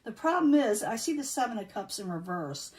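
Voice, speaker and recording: A woman reading tarot cards in a casual, chatty way, losing her voice and clearing her throat now and then. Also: fairly high pitch, very animated, brisk, a little mellow, far from the mic. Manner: chatty, repeats herself, many um fillers. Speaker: tarot reader.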